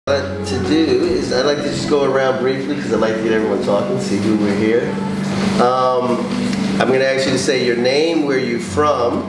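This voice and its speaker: A man leading a spiritual class in an unhurried, gentle way, with soft pauses as he talks to the group. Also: medium pitch, fairly even intonation. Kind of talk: relaxed teaching talk. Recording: echoey room, distant mic, background hum.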